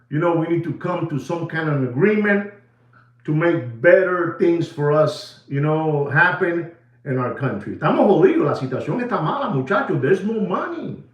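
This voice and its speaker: A man's voice: fairly high pitch, very animated, moderate pace, echoey room, highs cut off. A man from New York with a Puerto Rican accent, chatting on a livestream, rambling with lots of fillers and bits of Spanish. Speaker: livestream host. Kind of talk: casual livestream chat.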